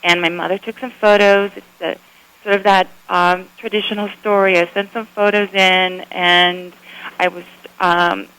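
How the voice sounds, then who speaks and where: A woman's voice: fairly low pitch, little pitch movement, normal speed, a bit bright, clean tone, poor audio. A middle-aged woman from Sweden with a Swedish accent, telling her story in a friendly, upbeat way as a radio interview guest. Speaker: photographer guest. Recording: radio broadcast.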